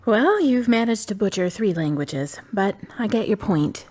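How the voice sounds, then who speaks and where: An actress playing a calm, wise young woman in her twenties from the United States, who talks bluntly and teases dryly. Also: mid-range voice, a little slow. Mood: firm. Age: 20s. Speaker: friend and love interest. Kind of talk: amateur web drama acting.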